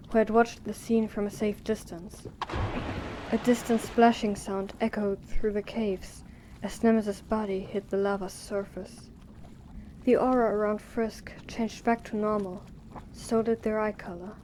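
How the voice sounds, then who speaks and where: 여성 내레이터, 부드럽고 어두운 음색. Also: female voice, a little high, mellow, slight background noise, rough recording. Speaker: narrator. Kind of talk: scripted audio drama narration.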